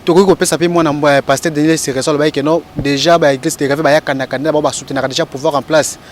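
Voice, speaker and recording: A man presenting a talk show, briskly laying out the sides of a debate. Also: fairly high pitch, steady tone, slight background noise. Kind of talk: introducing a debate topic.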